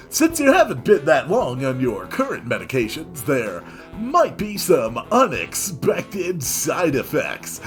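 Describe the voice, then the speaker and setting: A man voicing a jovial, grinning, chummy male head nurse with emphatic, drawn-out delivery in a visual novel reading. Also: fairly high pitch, voice pushed up, full character voice.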